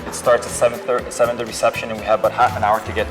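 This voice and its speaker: A man working as a trade official, speaking upbeat and a bit halting with filler sounds. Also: fairly low pitch, flat delivery. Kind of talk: interview and welcome remarks. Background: background music.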